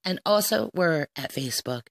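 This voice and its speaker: Low-voiced woman hosting radio. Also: lively intonation, normal speed, creaky. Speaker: radio show host. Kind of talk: informal radio presenting.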